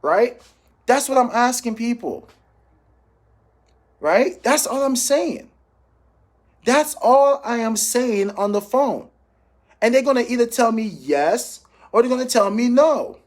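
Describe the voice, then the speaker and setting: High-pitched man coaching with emphasis. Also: animated, takes it slowly, pauses often. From Jamaica. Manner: emphatic, repeats key phrases, checks in with listeners. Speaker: financial professional coaching sales calls. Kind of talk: informal motivational training talk with role-played phone examples.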